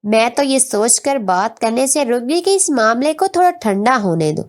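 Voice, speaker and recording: A woman with a somewhat high-pitched voice narrates a scripted story. She tells an emotional family tale in the first person, in the role of storyteller. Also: animated, normal speed.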